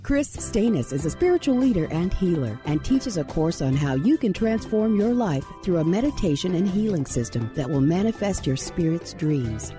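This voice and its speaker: A woman reads a scripted ad with very lively, swooping intonation and a lowish pitch. Music plays clearly underneath. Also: moderate pace, few pauses. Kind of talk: scripted radio ad narration. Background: music bed.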